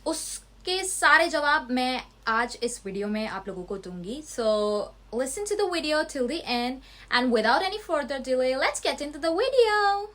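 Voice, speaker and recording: A young woman from India hosting a casual vlog, answering viewer questions in a mix of Hindi and English. Her voice sits fairly high and she speaks slowly, in a clear, explanatory way. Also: big pitch swings, a bit bright. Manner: mixes Hindi and English, explanatory, slightly defensive. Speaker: student vlogger.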